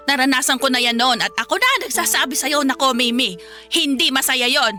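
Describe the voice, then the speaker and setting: A woman's voice: high voice, pushed and bright. An actress playing an anxious, proud Filipino mother in a radio drama, fretful and insistent. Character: anxious, proud mother fretting over her daughter's debut.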